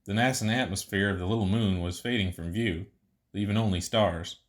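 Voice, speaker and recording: A man's voice: fairly low pitch, brisk. A man reading scripted fiction narration as the narrator of an audiobook story.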